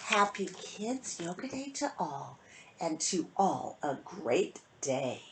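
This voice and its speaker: A woman reading a rhyming picture book aloud to children in an expressive, sing-song manner, with phrases that often end softly. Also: fairly low pitch, takes it slowly, slight background noise, low-quality recording. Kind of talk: storybook read-aloud for children.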